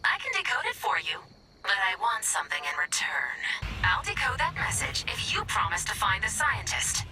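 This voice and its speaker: Haughty drama queen computer lady voiced by an actress, video game, kinda distant, lively pitch. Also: normal speed, over music, lightly acted. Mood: haughty, scornful. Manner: haughty, theatrical, sarcastic. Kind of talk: scripted video game voice acting.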